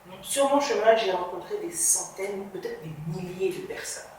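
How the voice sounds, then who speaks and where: A woman journalist speaking as a podcast guest, earnest and reflective, trailing off softly at the ends of phrases. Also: medium pitch, normal speed, slight background noise. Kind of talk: motivational interview talk. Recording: reverberant room, distant mic.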